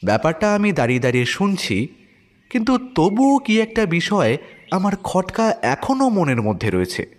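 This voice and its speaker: A man playing a detective's watchful companion who narrates an audio mystery with expressive suspense. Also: high voice, moderate pace. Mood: suspenseful. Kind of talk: scripted dramatic story narration.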